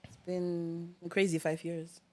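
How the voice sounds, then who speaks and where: Unhurried, candid woman from Zimbabwe. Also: lowish voice, completely flat delivery. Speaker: podcast guest. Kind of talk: casual chat.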